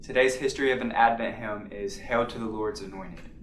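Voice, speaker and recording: A man's voice: on the low side, fairly even intonation, a little fast, a little hiss or hum. A man reading a scripted church devotional on hymn history, occasionally stumbling over words and correcting himself. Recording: roomy, echoing sound.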